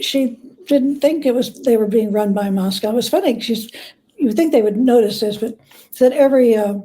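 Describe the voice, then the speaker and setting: A woman talking as an interview guest, telling stories in a halting, reflective way with repeated words. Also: fairly high pitch, dark tone. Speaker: guest author and biographer. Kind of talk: conversational interview storytelling.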